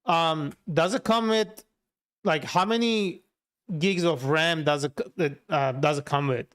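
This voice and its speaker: Clear, higher-pitched man with a Turkish accent. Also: slow, a bit bright. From Turkey. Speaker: livestreamer, software developer. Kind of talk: casual livestream chat, answering viewers while working.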